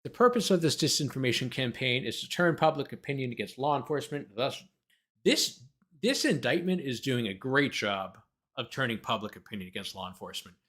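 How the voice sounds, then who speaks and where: A man's voice: mid-range voice, animated, brisk, a bit bright. A man who hosts a livestream as a lawyer, reading a document aloud and breaking off into sarcastic, exasperated, argumentative commentary.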